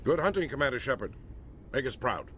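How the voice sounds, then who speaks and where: An actor playing a gruff, disapproving middle-aged admiral in a video game, blunt and stern in the way he talks. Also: mid-range voice, big pitch swings, a little fast, fairly bright tone, slight background noise. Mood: grudging, firm. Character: gruff, disapproving senior space navy admiral inspecting a warship.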